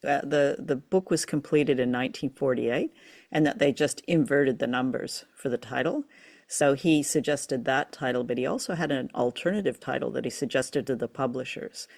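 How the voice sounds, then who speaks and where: Low-key woman co-host with gravelly creak. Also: normal speed. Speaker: co-host of a radio show and podcast. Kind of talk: casual conversational discussion.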